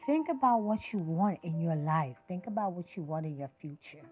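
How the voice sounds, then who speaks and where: A woman's voice: lowish voice, lively intonation, normal speed, narrow, tinny audio. A sixty-year-old woman hosting her own podcast, speaking in a passionate, emphatic monologue that rises and drops as she preaches her point. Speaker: podcast host.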